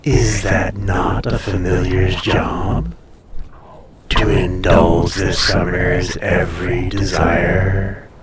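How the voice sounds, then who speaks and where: A man playing an ancient dragon familiar in an intimate roleplay audio, tender, possessive and teasing, soothing and often dropping to a breathy murmur. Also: sits low, flat delivery, slow pace, reverberant, distant mic, over a noisy crowd. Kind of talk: intimate roleplay audio drama, personal attention.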